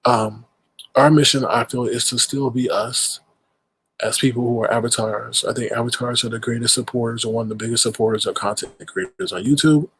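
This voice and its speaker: A man's voice: mid-range voice, steady tone, fairly quick, a bit bright, low-quality recording. A man with a stuffed-up nose hosting a casual livestream, rambling and greeting viewers in chat mid-sentence. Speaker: livestream host.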